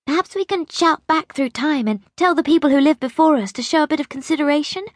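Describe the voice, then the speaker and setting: Woman performing a bitter young girl, high voice, insistent, accusing, audiobook character, dry close sound. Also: fast talker, dry recording, close to an everyday voice. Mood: urgent. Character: bitter, demanding young girl pleading with her great-grandmother.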